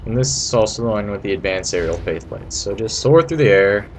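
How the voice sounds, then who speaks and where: Man, lowish voice, casual game commentary, playful, rambling, slightly noisy amateur recording. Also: expressive, a little fast. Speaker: video creator giving a game walkthrough.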